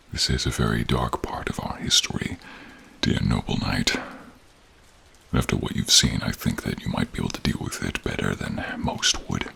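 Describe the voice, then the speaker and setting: A solemn, caring dragon, speaks in a cavernously low register full of rough rumble. He is slow and deliberate, addressing the listener formally in a fantasy audio roleplay. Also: a bit bright, full character voice. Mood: solemn, wistful. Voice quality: very deep, gravelly, husky, breathy. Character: old wise protective male dragon, grave and caring. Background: rain and cave ambience.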